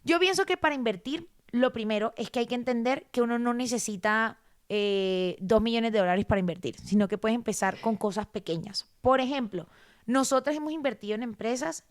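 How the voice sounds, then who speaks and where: Woman, fairly high voice, animated, casual finance chat. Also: a little fast. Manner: animated, explanatory, repeats words for emphasis. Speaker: guest. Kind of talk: casual conversation.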